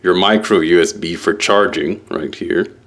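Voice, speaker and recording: A man giving a casual, halting unboxing walkthrough as a product reviewer, explaining things piece by piece. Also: low voice, steady tone, vocal fry, a bit bright.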